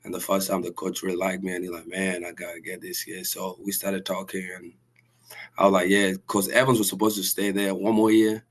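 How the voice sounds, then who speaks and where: Deep, flat-voiced young man from Sudan. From South Sudan. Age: young adult. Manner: soft-spoken, halting, with many restarts and fillers. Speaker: college basketball player, guest. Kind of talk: casual interview answers.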